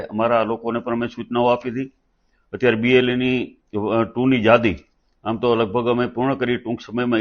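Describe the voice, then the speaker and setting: A man, a local party leader making an unscripted, halting statement with repeated false starts. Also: medium pitch, muffled recording. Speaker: district political party president.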